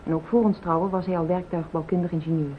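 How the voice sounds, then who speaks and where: A woman's voice: steady tone, quick pace, close to an everyday voice. Actress as loyal, anxious married woman, formal and insistent, radio drama, narrow-band sound with background hiss. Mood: indignant, insistent. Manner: formal, insistent, easily indignant. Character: anxious, fiercely loyal young wife. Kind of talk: radio play acting. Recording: tinny old radio recording, hiss, rumble.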